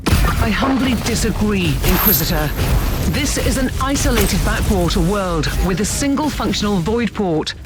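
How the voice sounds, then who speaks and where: Someone doing a calm sly ship captain, measured, game cutscene. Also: medium pitch, over loud music, natural voice. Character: dry, shrewd starship captain.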